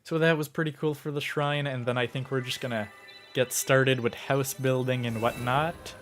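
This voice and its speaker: A man's voice: medium pitch, fairly even intonation, moderate pace, fairly bright tone. A man rambles casually through a gameplay livestream, thinking aloud with plenty of fillers. His mid-range voice is a little worn because he is sick and losing it. Faint game music plays underneath. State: sick, starting to lose his voice. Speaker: gaming livestreamer.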